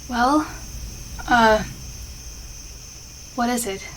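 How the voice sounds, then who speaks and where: A woman playing a shy, flustered, affectionate best friend in an intimate ASMR roleplay, speaking haltingly and bashfully. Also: fairly high pitch, low-quality recording. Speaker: voice actor in an audio roleplay. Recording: clear insect and outdoor ambience noise. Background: crickets and night ambience.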